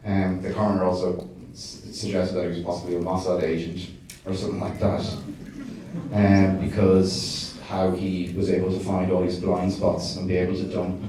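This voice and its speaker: A low-voiced man fields audience questions after a screening, speaking in a dark, flat tone. He hesitates often and restarts his sentences. His voice comes from a distance in a very echoey hall with faint noise behind it. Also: moderate pace. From Ireland. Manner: hesitant, many fillers and restarts. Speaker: documentary director. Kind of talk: festival Q&A answers.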